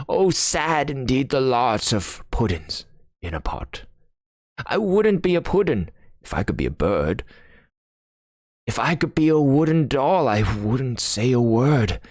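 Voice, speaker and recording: A man voicing a gloomy, grumbling storybook pudding in a gruff, mournful voice. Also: mid-range voice, very animated, a little slow, a little mellow, no room sound, clean studio sound, pitched above the performer's own voice. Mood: gruff mournful singing.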